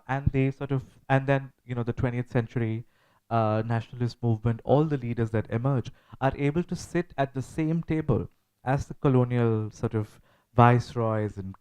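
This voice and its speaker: A man's voice: medium pitch, a little mellow, rough recording. A man with an Indian English accent moderating a live literary discussion, explaining points emphatically with rhetorical repetition. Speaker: panel moderator. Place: live literary festival session.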